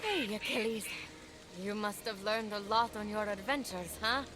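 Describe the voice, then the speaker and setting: A woman's voice: mid-range voice, normal speed, lightly acted. A woman playing an earnest, plucky young hero from Greek myth in a video game, casual and sincere in delivery. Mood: earnest, hopeful. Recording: music behind the voice.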